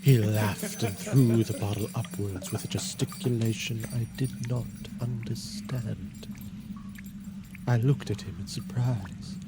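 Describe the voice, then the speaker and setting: A man narrating a gothic story in a measured, formal, dramatic style. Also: on the low side, fairly even intonation, low-quality recording. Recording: loud music behind the narration.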